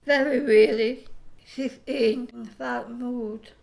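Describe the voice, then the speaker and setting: An actress playing a mischievous young doctor's daughter in an audio play, speaking in short, blunt phrases. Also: female voice, on the high side, steady tone, takes it slowly, gravelly, darkish tone.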